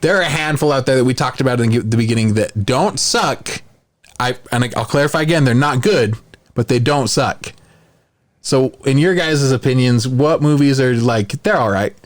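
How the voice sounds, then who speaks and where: A man's voice: mid-range voice, normal speed. A man hosting a podcast, chatting casually and giving his opinions in a conversational way. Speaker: podcast host. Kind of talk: casual podcast chat about films.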